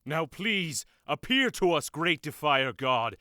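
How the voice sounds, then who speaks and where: A man's voice: fairly high pitch, sing-song, slow pace, a loud crowd. A man playing a fervent cult leader in an audio drama, solemnly declaiming a reverent invocation. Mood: reverent fervor. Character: fervent male cult leader praying at a dark altar.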